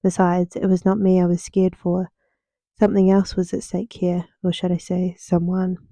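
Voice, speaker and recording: A young woman narrates a story in soft ASMR style, her tone very dark and mellow. Her pitch sits on the low side and moves little, with deliberate pauses between phrases. The sound is dry and close. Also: monotone, dry recording. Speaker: writer reading her own book.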